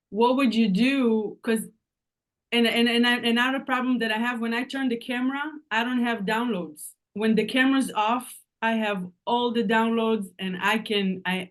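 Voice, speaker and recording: A woman with a Hebrew accent, a native Hebrew speaker, asking a question on a video call and searching haltingly for her words. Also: fairly high pitch. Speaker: participant on a coaching call.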